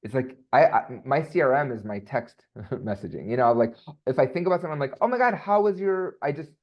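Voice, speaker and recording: Animated man on a muffled line. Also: mid-range voice, fast. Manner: animated, rambling with many fillers. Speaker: voice coach guest. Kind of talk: casual podcast interview. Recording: dull lo-fi sound.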